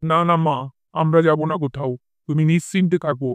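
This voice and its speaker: Fast, high-voiced stubborn husband, acted by a man. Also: fairly even intonation, dry recording, lightly acted. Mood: placating. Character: stubborn husband devoted to his mother. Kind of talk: Bengali cartoon fairy-tale voice acting.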